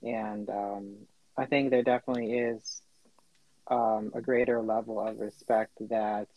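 A man's voice: fairly low pitch, little pitch movement, a little slow, dry recording. A man working as a missionary, speaking earnestly and steadily in casual conversation. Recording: dull dry recording.

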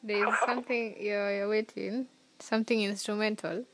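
A woman talks in a relaxed, teasing way and laughs softly. Her voice sits at an ordinary pitch, and the recording is dry and close. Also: moderate pace, dry recording. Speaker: podcast co-host. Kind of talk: casual chat.

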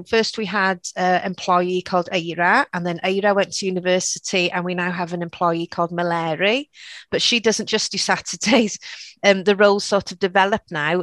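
A woman's voice: on the low side, a bit bright, no room sound. Chatty Welsh woman, dry close sound. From Wales. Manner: chatty, frequent restarts and "you know". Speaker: shop owner guest. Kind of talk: casual interview chat.

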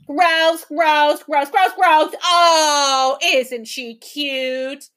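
A woman voicing a gang of jeering, furry green swamp creatures who chant and mock in a shrill, sing-song way. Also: fairly even intonation, drawn-out words, fairly bright tone, heavily acted.

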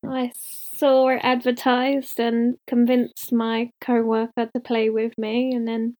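Soft-spoken English woman, higher voice. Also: level tone, slow, low-quality recording. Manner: brief, low-key replies. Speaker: podcast guest, host's girlfriend. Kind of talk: casual chat.

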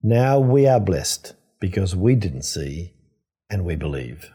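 An older man, a priest, giving a reflective scripture teaching in a measured, explanatory way with emphatic phrasing. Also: low voice, very animated, mellow, slightly rough.

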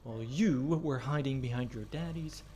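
A man's voice: medium pitch, normal speed, dark tone, slight background noise, close to an everyday voice. A man voicing a blustering veteran raccoon sergeant who barks military orders. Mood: defensive. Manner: barking military orders, boastful.

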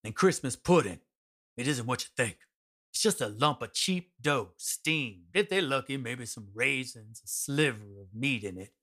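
A woman playing a poor, humble parent in a radio drama, explaining wryly and deliberately. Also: extremely low pitch, animated, a bit bright, exaggerated voice.

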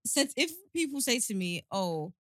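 A British woman in her thirties chats casually as a podcast co-host. Her voice sits a little high and swings around in a lively, animated way. She teases playfully and speaks very informally. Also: moderate pace, dry recording, clean studio sound. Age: 30s. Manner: playful, teasing, giggly. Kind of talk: casual chat.

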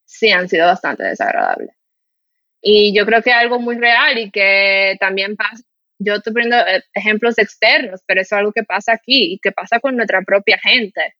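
A woman's voice: medium pitch, normal speed, dry recording, highs cut off. A woman from the Dominican Republic with a mild Dominican Spanish accent, hosting a casual podcast and telling indignant stories full of fillers. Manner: animated, indignant, storytelling with many fillers. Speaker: podcast host.

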